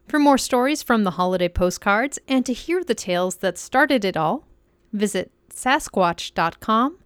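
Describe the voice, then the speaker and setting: A woman's voice: a little high, clear, resonant tone, no echo. A woman hosting an audio drama podcast, reading a scripted sign-off. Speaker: podcast host. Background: music.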